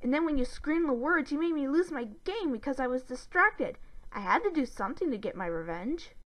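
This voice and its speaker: A woman's voice: mid-range voice, very animated, quick pace. Woman as a mocking, selfish little sister. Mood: scornful, sarcastic. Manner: sneering, taunting.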